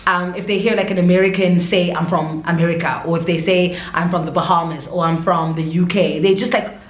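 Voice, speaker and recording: A woman's voice: lowish voice, steady tone, brisk. A woman with a South African accent telling stories casually and expressively as a vlogger, dropping in Zulu interjections. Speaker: vlogger, expatriate teacher. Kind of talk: casual chat to camera. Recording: echoey room.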